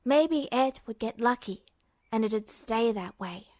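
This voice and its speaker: A woman reading fanfiction aloud as podfic narration in a steady, even manner. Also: on the high side, slow, no room sound, clean studio sound. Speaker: fanfiction reader.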